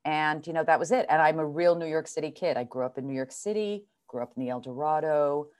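Deep-ish lady in her 60s telling stories, chatty and theatrical, says darling. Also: fast talker, clear, resonant tone. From Switzerland. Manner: chatty, theatrical, warm endearments. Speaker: guest actress. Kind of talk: relaxed storytelling in conversation.

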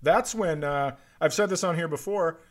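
A man's voice: on the high side, normal speed. A man co-hosting a comedy podcast with casual banter and wry riffing.

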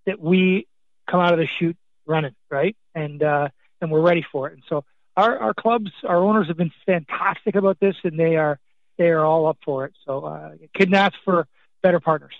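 A man's voice: on the high side, dry recording. A man who grew up in Canada after being born in Scotland, a soccer league executive answering radio interview questions with many restarts and fillers. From born in Scotland, raised in Canada. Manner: halting, repeats words, many fillers. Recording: dull, dry remote line.

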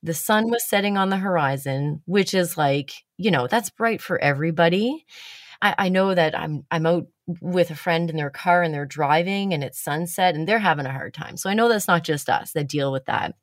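A woman's voice: on the low side, moderate pace, no room sound, clean studio sound. A woman hosting a casual chat, telling stories about herself with blunt, self-mocking humor and the odd swear word.

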